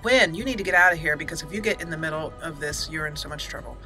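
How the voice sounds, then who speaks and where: A woman's voice: deep voice, fast talker, bright, forceful tone, soft background music, poor audio. A woman hosting a gaming channel, giving casual, chatty let's play commentary as she talks aloud to the characters.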